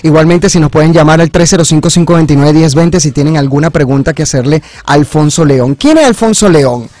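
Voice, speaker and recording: Male radio interviewer, fairly high pitch, enthusiastic, emphatic repetitions, conversational, dry studio mic. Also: brisk, a little mellow, no echo. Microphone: studio microphone on boom arm, headphones.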